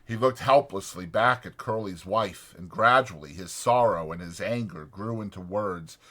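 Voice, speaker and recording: A man's voice: low-pitched, normal speed, fairly bright tone. A man reading a story as an audiobook narrator, in a dramatic scripted style.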